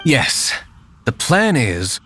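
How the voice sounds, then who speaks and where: An actor playing an aloof, stoic young gentleman in a video game, formal and measured. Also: medium pitch, wildly swooping pitch, breathy edge, heavily acted. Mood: resolute, serious.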